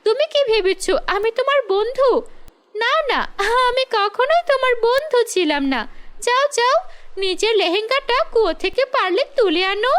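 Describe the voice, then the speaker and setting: Woman voicing a spiteful, very high-pitched scheming girl. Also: lively intonation, normal speed, a bit bright. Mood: gloating, spiteful. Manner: spiteful, taunting. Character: jealous, scheming, boastful girl. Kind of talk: cartoon fairy-tale acting.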